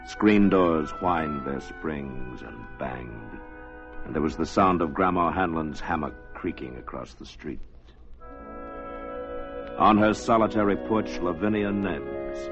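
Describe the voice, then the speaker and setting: A man giving dramatic story narration in the manner of 1940s radio drama. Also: very deep voice. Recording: muffled vintage radio recording. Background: music.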